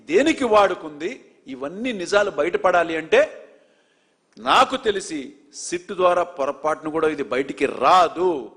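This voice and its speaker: A man's voice: high voice, fairly bright tone. A man presenting political news commentary, speaking in an indignant, emphatic way and asking rhetorical questions.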